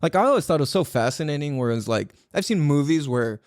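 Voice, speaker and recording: Male podcast host, fairly high voice, swears freely and repeats words for emphasis. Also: fast, dry recording, clean studio sound. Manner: excitable, laughs often. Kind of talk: casual solo podcast monologue.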